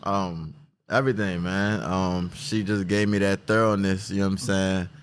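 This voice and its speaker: A man's voice: low-pitched, flat delivery, normal speed, clear, resonant tone. A young man with a D.C.-area accent, a boxer talking laid-back and slangy in a casual interview. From Washington, D.C. area. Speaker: professional boxer, interview guest.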